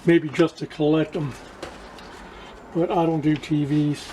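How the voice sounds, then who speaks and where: A man giving a casual hands-on demonstration to viewers as a hobbyist, haltingly searching for words and repeating himself. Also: fairly high pitch, flat delivery, warm tone, a little hiss or hum. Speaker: radio restoration hobbyist presenting to viewers.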